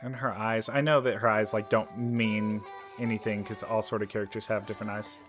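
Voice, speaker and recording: A man giving casual reaction commentary, rambling and thinking out loud as he goes. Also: on the low side, little pitch movement, fairly quick. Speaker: reaction video host. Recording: game music playing behind. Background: game trailer music.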